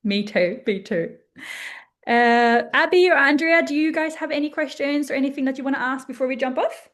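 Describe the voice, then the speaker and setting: A woman coach leads a live workshop over a video call. She talks in bright, upbeat bursts of encouragement and pitches her program eagerly. Her voice sits somewhat high. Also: moderate pace.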